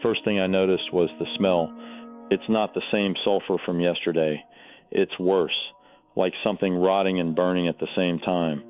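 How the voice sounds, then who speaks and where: Man acting uneasy local caller, very low voice, phone-like sound, plain, descriptive. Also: fairly even intonation, normal speed, soft background music, lightly acted. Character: uneasy local man reporting what he saw. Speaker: radio caller. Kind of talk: radio call-in testimony in audio drama. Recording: tinny, phone-like narrow sound.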